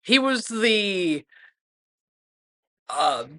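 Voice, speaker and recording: Co-host who asks questions in a bright, halting, stammering way. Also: mid-range voice, sing-song. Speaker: podcast co-host asking questions. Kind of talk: casual podcast chat.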